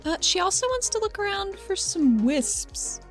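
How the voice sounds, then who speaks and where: A woman's voice: very high voice, very animated, moderate pace, soft background music. A woman chatting casually as a player in a tabletop roleplaying game, playful, informal and sweary. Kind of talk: casual improvised game chat.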